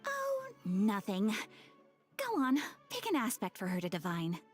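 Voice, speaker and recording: A woman's voice: medium pitch, huge pitch swings, unhurried. A woman voicing a clever young female guide in a video game, playful and slyly coaxing. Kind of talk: animated video game voice acting. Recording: background music under the voice.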